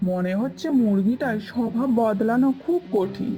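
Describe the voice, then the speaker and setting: A woman's voice: fairly high pitch, normal speed, over loud music, close to an everyday voice. Woman voicing a grumpy, bossy cartoon crow. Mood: annoyed. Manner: complaining, bossy. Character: lazy, cunning, grumpy crow. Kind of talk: cartoon story dialogue.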